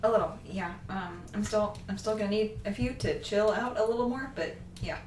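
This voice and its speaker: An actress playing a guarded, blunt young student vigilante in an audio drama, speaking in a dry, halting, understated way. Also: female voice, mid-range voice, a little mellow, lightly acted. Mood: subdued. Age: young adult. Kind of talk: naturalistic audio drama dialogue. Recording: roomy, distant mic, faint noise.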